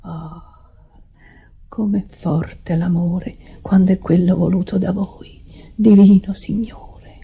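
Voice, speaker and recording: Radio drama: a fretful, pious woman nursing an invalid, very husky and gravelly, solicitous, dull lo-fi recording. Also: lowish voice, steady tone, takes it slowly, long pauses, exaggerated voice. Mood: soothing. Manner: fretful, hushed, theatrically solicitous.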